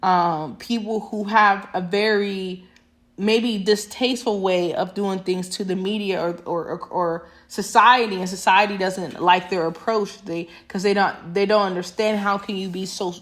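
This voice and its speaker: A young woman talking straight to camera in a passionate, emphatic monologue that rambles with restarts. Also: medium pitch. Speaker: vlogger.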